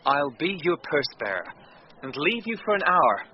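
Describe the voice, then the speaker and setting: An actor playing a courteous, eager young gentleman, speaking measured verse in classic radio Shakespeare style. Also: fairly high pitch, sing-song. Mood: curious, friendly. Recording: dull, noisy old radio broadcast.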